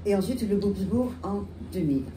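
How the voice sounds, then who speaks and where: A woman's voice: medium pitch, expressive. Woman with a cold, echoey distant room. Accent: French. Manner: halting, many restarts and fillers. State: has a cold. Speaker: bar owner, panelist. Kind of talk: panel talk, recounting her history. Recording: echoey room, distant mic, loud background noise.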